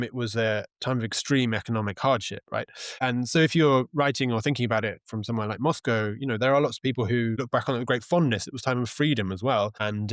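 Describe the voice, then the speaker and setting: A man speaking as an interview guest, explaining things in a thoughtful, halting, conversational way. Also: medium pitch, no room sound, clean studio sound. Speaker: interview guest, journalist and author.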